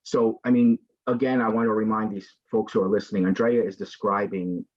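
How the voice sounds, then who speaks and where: A man's voice: fairly low pitch, little pitch movement, fairly quick, a little mellow, highs cut off. A man moderating an online discussion, speaking in an emphatic, impassioned way and apologizing for carrying on. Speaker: event host and moderator. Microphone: video call.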